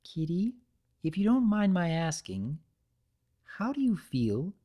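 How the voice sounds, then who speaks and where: A woman's voice: on the low side, big pitch swings, takes it slowly, dark tone, voice pushed up, heavily acted. A woman voicing a thoughtful, level-headed young female cartoon pony in a story reading, with precise, reasoning delivery. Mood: gentle.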